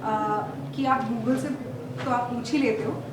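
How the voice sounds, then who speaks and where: A woman with an Indian accent explains legal points to a conference audience in a measured, careful way, pausing on fillers. Her voice sits slightly high. It reaches the recorder from across a reverberant hall with a faint hiss underneath. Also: steady tone, far from the mic. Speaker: legal expert speaker. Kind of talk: conference presentation. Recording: echoey hall, speaker off-mic, faint noise.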